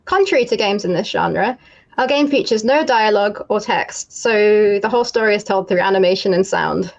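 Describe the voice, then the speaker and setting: A young woman from Namibia, a game developer, explaining her indie game in an upbeat, clear way. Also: medium pitch, normal speed, lo-fi sound.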